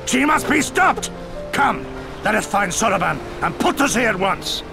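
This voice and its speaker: Righteous game warrior, super high for a man, gravelly edge, bombastic n outraged. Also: sing-song, normal speed, music in the background, full character voice. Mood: outraged, urgent. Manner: bombastic, archaic, declamatory. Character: hot-blooded, righteous male warrior, theatrically outraged. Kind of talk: video game voice acting.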